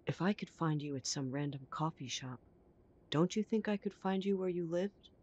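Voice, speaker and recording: A woman playing a poised, prying mother who talks in a genteel, gently condescending way in an audio roleplay. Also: low-pitched, normal speed, husky, lo-fi sound. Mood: smug. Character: poised, prying, polite upper-class mother. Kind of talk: scripted audio roleplay acting.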